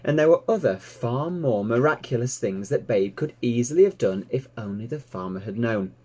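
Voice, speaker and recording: A man narrating a children's story in an expressive read-aloud style. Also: medium pitch, moderate pace, slightly rough. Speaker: storybook reader, teacher.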